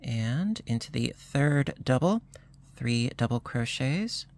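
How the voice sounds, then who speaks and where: A crochet instructor, slightly hoarse, calmly calling out each stitch in a step-by-step tutorial. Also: mid-range voice. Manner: calm, unhurried, talks through each stitch.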